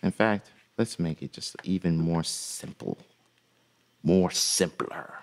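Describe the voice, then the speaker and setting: A man with a deep voice teaching music production in a relaxed, folksy way, with many "uh" fillers. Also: slow pace, gravelly, slight background noise. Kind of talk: casual software tutorial.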